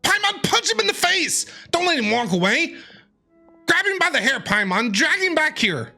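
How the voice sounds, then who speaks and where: A woman's voice: high-pitched, big pitch swings, normal speed, sharp tone, faint music behind. A woman streamer giving playful, heckling reaction commentary while playing a game.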